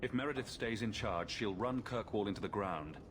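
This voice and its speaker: An actor playing a confident, wry champion in a video game, direct and a little flippant. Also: male voice, on the low side, normal speed, lightly acted. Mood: earnest. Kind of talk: video game cutscene dialogue. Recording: clear background noise.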